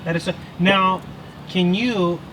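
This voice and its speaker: A man's voice: sits high, fairly even intonation, slow pace. A man interviewing a passerby, asking curious questions with frequent halts and restarts. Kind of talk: casual street interview. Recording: loud outdoor street noise.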